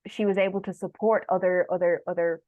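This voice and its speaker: A woman researcher answering questions informally at an online talk, halting and apologetic, often repeating words, over a dull call connection. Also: fairly low pitch, steady tone, darkish tone, no room sound. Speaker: guest speaker, researcher. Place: online video call, close dry sound.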